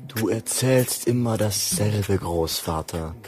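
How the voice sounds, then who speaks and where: An actor playing a bored, impatient young grandson in a radio drama. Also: male voice, on the low side, slow pace, darkish tone, faint music behind. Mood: impatient, dismissive.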